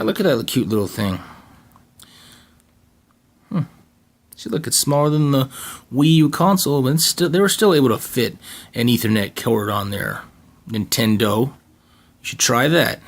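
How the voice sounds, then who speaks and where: A man with a raspy voice casually talking through an unboxing, joking to himself, with plenty of fillers and restarts. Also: medium pitch, a bit bright. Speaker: channel co-host doing an unboxing. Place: home gaming room.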